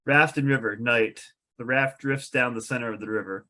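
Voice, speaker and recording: Mid-pitched man narrating a script. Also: fairly even intonation, normal speed, sharp tone. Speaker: narrator reading stage directions. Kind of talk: scripted narration at a table read.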